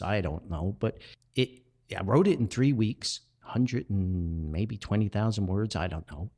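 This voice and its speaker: An older man speaks as a podcast host at a middling pitch that swings up and down freely. He rambles through personal reflections with earnest emphasis. His delivery is halting, with repeated words and backtracking. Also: vocal fry.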